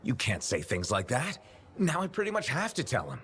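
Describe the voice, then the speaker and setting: An actor playing an easygoing, dryly sarcastic mercenary hero in a video game. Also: male voice, medium pitch, wildly swooping pitch, brisk, a bit bright, slight background noise. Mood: teasing, casual. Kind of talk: video game dialogue acting.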